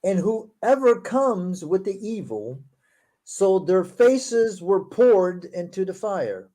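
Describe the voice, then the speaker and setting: High-voiced man, native Portuguese speaker. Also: big pitch swings, dark tone. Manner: reads verses aloud slowly, then comments in a quick, sarcastic tone. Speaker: show host. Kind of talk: casual critical discussion.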